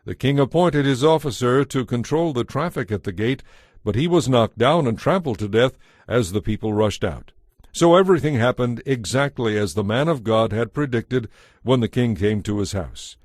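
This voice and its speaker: A man's voice: medium pitch, lively intonation, moderate pace, a little mellow, no echo, clean studio sound. A man reading scripted narration for an audio Bible.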